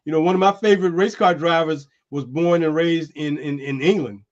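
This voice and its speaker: A man hosting a streamed show, chatting loosely and calling people "man" as he pitches merchandise. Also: fairly quick, lo-fi sound. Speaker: host. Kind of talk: casual chat and merchandise pitch. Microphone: streaming setup.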